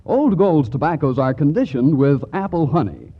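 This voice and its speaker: Dull radio, animated male announcer. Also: fairly high pitch, moderate pace, breathy edge. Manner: polished, reassuring. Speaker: commercial announcer. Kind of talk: 1940s radio advertising pitch. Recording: dull 1940s radio recording.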